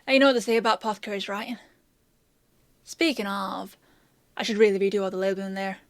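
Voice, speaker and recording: A woman's voice: medium pitch, fairly even intonation, quick pace, dry recording, studio quality, natural voice. A woman playing a bossy, teasing female apothecary in a fantasy audio roleplay, with a chatty, sardonic, playfully scolding manner.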